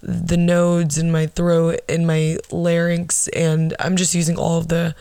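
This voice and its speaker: A young woman with a low alto voice, telling rambling, profane, self-mocking stories as a podcast host. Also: completely flat delivery, a little slow, creaky, warm tone, smooth, no echo. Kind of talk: casual storytelling monologue.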